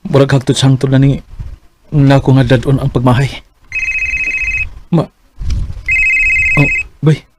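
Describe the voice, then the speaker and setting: An actor playing a torn young husband in a radio drama, stammering and pleading. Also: male voice, mid-range voice, flat delivery, a little slow, long pauses, background noise, close to an everyday voice. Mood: anguished. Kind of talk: Bisaya radio drama acting.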